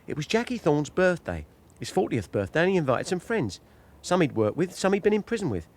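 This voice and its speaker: Radio drama part: wary underworld informant, higher-pitched, quick, grudgingly deferential and defensive. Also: male voice, sing-song. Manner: protesting, deferential. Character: defensive, wary male police informant, deferential but protesting.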